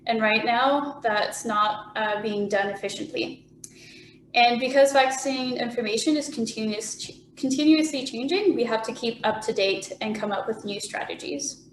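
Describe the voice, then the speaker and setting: A 28-year-old woman walks through a student project, slightly high-pitched and steady in her explanations. Her voice carries a noticeable room echo, with a faint hiss underneath. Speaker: student presenter. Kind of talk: presenting a project. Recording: echoey room.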